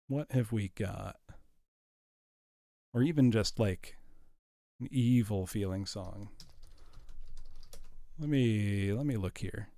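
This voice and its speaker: A man's voice: fairly low pitch, slow pace, gravelly, pauses often, warm tone, dry recording. A man hosting a livestream, chatting informally and enthusiastically while musing aloud. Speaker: livestream host. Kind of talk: casual livestream chat.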